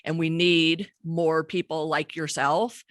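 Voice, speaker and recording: A woman in her forties hosting a casual podcast, candid and quick to laugh, swearing freely, recorded on a studio mic with a pop filter. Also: low voice, steady tone, a bit bright, no room sound. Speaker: podcast host. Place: home studio with shelves and plants.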